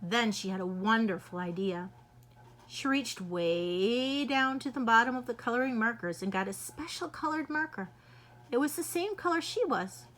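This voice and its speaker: A woman reading a children's picture book aloud in an expressive, lively way. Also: on the high side, moderate pace, low-quality recording. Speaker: children's storyteller.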